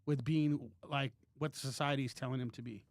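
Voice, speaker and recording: A man's voice: medium pitch, steady tone, moderate pace, dry recording. A man co-hosting a podcast in casual chat, rambling and joking with many restarts. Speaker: podcast co-host.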